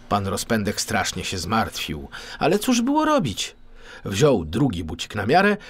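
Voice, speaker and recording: A man narrating a children's story in an expressive, theatrical storytelling manner. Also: medium pitch, a little hiss or hum. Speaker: audiobook narrator.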